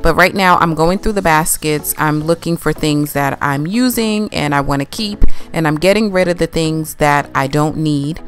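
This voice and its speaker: Low-voiced woman narrating her cleaning. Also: moderate pace, few pauses. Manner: chatty, explaining her routine step by step. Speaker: cleaning vlogger. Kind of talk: casual voice-over narration. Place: home laundry room.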